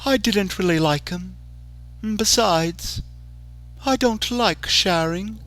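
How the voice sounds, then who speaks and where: A man voicing a sadistic, playful female clown who eats her victims, speaking in a soft, teasing, sing-song way over background music. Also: high-pitched, slow, pitched above the performer's own voice. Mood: sadistic glee. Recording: music bed under voice.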